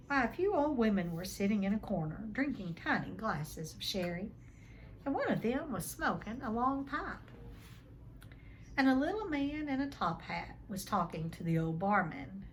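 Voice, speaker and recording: A woman reading a children's novel aloud as an expressive storyteller. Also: medium pitch, a little hiss or hum, poor audio.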